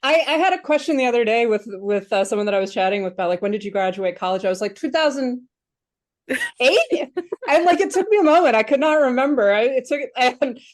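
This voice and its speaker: A woman's voice: a little high, animated, fast talker. A woman in her thirties hosting a casual podcast, chatting with restarts and fillers and laughing often. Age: 30s. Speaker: podcast host.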